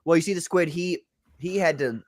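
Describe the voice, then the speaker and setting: A man's voice: fairly high pitch, brisk. A man teasing his co-panelists with sarcastic jokes in a casual podcast chat.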